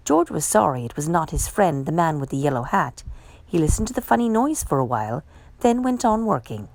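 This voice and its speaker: Expressive woman reading a children's story, swooping intonation. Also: low-pitched, dry recording. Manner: expressive, measured storytelling delivery. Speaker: storytime reader. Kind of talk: children's picture book read-aloud.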